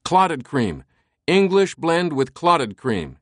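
A man's voice: on the high side, big pitch swings, slow pace, no echo, studio quality, voice pushed up, full character voice. A man voicing an earnest, courteous scholar who pleads politely and urgently in an audiobook. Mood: eager.

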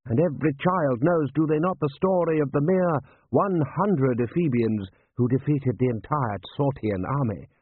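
A man's voice: a little high, normal speed, hardly any pauses, husky, pitched above the performer's own voice. A man voicing a pompous, blustering aristocratic army commander with haughty, repetitive speech in an audiobook. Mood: pompous, boastful. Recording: thin, band-limited, phone-like sound.

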